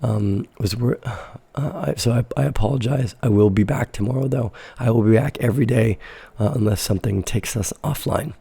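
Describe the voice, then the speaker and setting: A hoarse, raspy man in his sixties hosts a live stream and answers viewer questions in a blunt, fiery, profane way. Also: mid-range voice, normal speed, mellow, no echo. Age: 60s. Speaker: host of a live crypto trading show.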